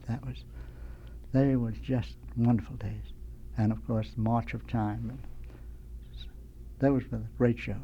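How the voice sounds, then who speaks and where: An older American man, a veteran radio sound effects man, tells nostalgic anecdotes as a studio guest in a rambling, halting way. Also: mid-range voice, muffled recording, slight background noise.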